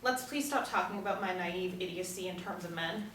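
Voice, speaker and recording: A woman's voice: fairly low pitch, brisk, a bit bright, natural voice. A woman, apparently in her thirties, playing a blunt, sarcastic, hurt woman at a script reading. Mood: bitter. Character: sharp-tongued, sceptical, hurt woman. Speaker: actress at a screenplay table reading. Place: indoor room, seated. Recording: echoey room, distant mic, faint noise.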